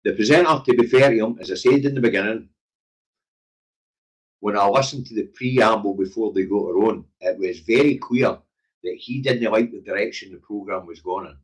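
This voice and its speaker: Man, Glaswegian Scottish accent, lowish pitch, husky, blunt, opinionated, conversational livestream commentary. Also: normal speed, rough recording. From Scotland. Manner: blunt, emphatic, sweary, conversational. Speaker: livestream current affairs show host. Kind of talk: opinionated casual live commentary.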